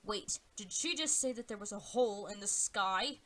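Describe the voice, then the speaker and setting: Woman doing a pushy proud warrior guy, blunt, loud and bright, audio play. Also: medium pitch, normal speed, lightly acted. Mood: alarmed. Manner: blunt, demanding. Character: gruff, demanding, impatient proud male warrior. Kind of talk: amateur audio play acting.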